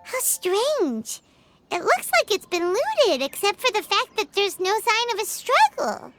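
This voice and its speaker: A woman voicing a small, excitable fairy companion in a video game, with a squeaky childlike voice and expressive delivery. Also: measured pace, full character voice. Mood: puzzled. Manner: speaks of self in third person, expressive. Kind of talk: video game character dialogue. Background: music.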